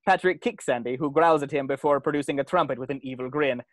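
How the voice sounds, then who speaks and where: A man reads out cartoon stage directions as a scripted narrator. His pitch is average and the sound is dry, with little room around it. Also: fast, no room sound.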